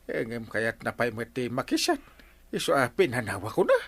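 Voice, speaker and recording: A man's voice: mid-range voice, huge pitch swings, moderate pace, gravelly, fairly bright tone, full character voice. An actor with an Ilocano accent playing a flustered village captain in a comedy radio drama, stammering and hesitant as he makes sheepish excuses.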